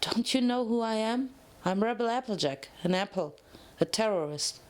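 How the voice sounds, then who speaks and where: A woman's voice: fairly high pitch, lively intonation, normal speed, lightly acted. A woman voicing a curt, guarded, grieving rebel mare in prison for a story reading. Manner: curt, reluctant. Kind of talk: dramatic fan fiction reading.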